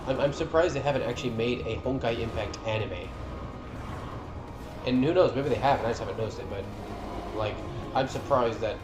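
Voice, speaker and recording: A man talks casually in an ordinary mid-range voice, like an enthusiastic fan making a video. His delivery is informal and conversational. The room adds noticeable echo, and music plays clearly behind him. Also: medium pitch, fairly quick, long pauses. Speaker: video creator presenting a favorites list. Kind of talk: casual reaction commentary over game cutscenes. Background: game cutscene music.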